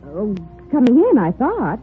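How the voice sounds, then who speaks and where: A woman's voice: a little high, wildly swooping pitch, measured pace. A woman playing a courteous, faintly officious hotel desk clerk in a 1940s radio drama, with formal, precise speech. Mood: puzzled, helpful. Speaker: actress in a radio detective series. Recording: tinny 1949 radio recording, faint music.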